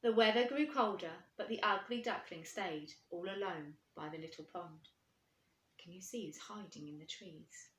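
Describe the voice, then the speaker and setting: A woman reading a storybook to children, expressive and putting questions to her young listeners. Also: lowish voice, normal speed. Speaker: primary school teacher reading aloud. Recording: echoey room.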